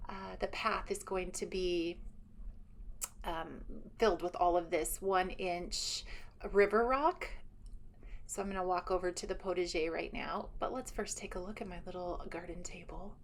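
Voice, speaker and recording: A woman's voice: fairly low pitch, steady tone, normal speed, slight background noise. Chatty woman giving an enthusiastic garden tour. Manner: enthusiastic, rambling, thinking aloud. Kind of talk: casual chatty walk-around narration. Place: outdoors in a home garden.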